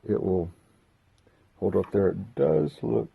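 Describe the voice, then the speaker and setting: A man hosting a casual unboxing video, talking haltingly as he thinks aloud while handling gear. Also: on the low side, expressive, gravelly, slightly rough, phone-quality sound. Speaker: host of a hobby unboxing video. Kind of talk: casual unscripted product walkthrough.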